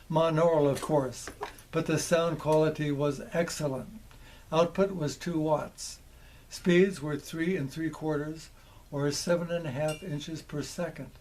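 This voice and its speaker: An older man in his seventies narrating a scripted demonstration in a measured, methodical way. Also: a little high, darkish tone, a little hiss or hum. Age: older, around 70s. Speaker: presenter of vintage electronics. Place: small indoor electronics workshop.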